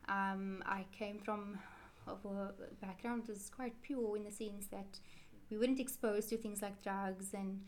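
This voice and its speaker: Chatty woman, flat delivery. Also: mid-range voice, unhurried, hardly any pauses. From South Africa. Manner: chatty, rambling, frequent self-corrections. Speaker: interview guest, Quran teacher. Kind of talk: casual interview answers.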